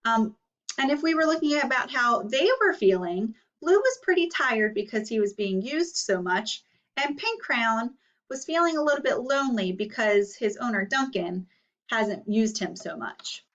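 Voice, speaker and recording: A woman's voice: fairly high pitch, lively intonation, moderate pace, a bit bright. A woman teacher leading a read-aloud lesson for children, explaining and asking questions. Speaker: elementary school teacher. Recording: slightly muffled, distant microphone.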